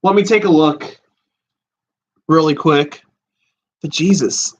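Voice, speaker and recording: Excitable young man, dull lo-fi stream audio. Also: on the high side, slight background noise. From USA. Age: 20s. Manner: excitable, swearing, exclaiming, halting restarts. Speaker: podcast host livestreaming. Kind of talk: excited casual livestream chat. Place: small room at home with a fish tank. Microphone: laptop and handheld phone.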